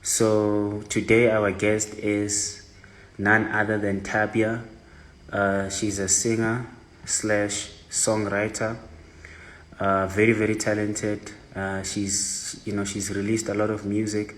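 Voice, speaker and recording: A man's voice: fairly low pitch, flat delivery, slow, a bit bright. A South African man hosting a casual online interview, talking loosely with lots of fillers. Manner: loose, filler-heavy, friendly. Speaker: host.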